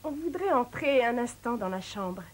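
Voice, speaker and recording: An actress playing a plucky, resourceful young sleuth in a French cartoon dub, quick-witted and determined. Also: female voice, a little high, lively intonation, lightly acted. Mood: polite, sly. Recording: music behind the voice.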